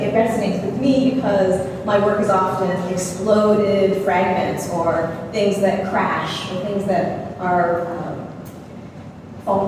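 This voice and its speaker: A woman from Canada, speaking as an artist in a gallery conversation, reflective and unhurried with frequent pauses. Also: mid-range voice. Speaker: visiting artist. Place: gallery space, indoors. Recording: echoey hall from far off, noisy.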